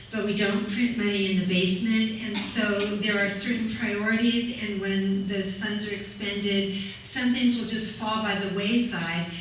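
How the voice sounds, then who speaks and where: A woman's voice: medium pitch, fairly bright tone. A woman speaking as a city council candidate at a public forum, practical and matter-of-fact. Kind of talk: forum answer to a question. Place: community center meeting room. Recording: echoey room from far off, faint noise.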